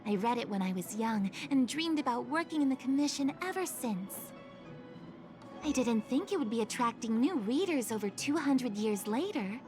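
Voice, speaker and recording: A woman's voice: on the high side, expressive, moderate pace, over music, lightly acted. A woman voicing an earnest, courteous junior official in a video game, polite and a little hesitant. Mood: reflective. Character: earnest, courteous young-sounding female junior official, long-lived.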